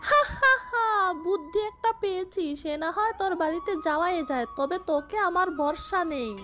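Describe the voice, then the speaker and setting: A gloating ghost woman from a horror cartoon. The voice is extremely high and cackling. She taunts and threatens with relish, with faint music behind. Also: animated, moderate pace, pushed and bright, full character voice. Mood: suspicious, scheming. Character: gloating, bloodthirsty female tree ghost of Bengali folklore. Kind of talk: children's horror cartoon voice acting.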